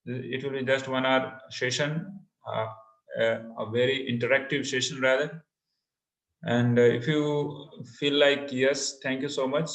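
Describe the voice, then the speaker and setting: A man's voice: mid-range voice, fairly bright tone. A man with an Indian accent and Hindi as his mother tongue presents an interactive webinar, encouraging listeners and repeating phrases. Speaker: online course instructor. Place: indoor room, online video call. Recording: echoey room on online call.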